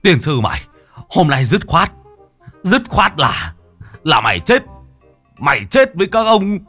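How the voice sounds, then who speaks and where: A man's voice: fairly high pitch, huge pitch swings, unhurried, sharp tone, slightly rough, soft background music, pitched above the performer's own voice, heavily acted. A man voicing furious village relatives who stammer out raging threats.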